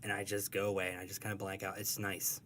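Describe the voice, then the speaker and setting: A man being interviewed as a guest, talking in a casual, halting, self-deprecating and joking way. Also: low voice, monotone, fairly bright tone, a little hiss or hum. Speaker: guest, comic strip artist.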